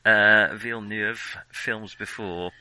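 A man's voice: on the low side, fairly even intonation, slow pace, a crowd in the background, rough recording. A man co-hosting a casual film podcast, speaking tentatively and in a conciliatory way. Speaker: podcast co-host.

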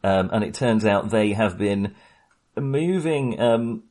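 A man's voice: lowish voice, lively intonation, slow, darkish tone. A man chatting casually about a film, rambling with many hesitations and frequent chuckles. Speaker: podcast host.

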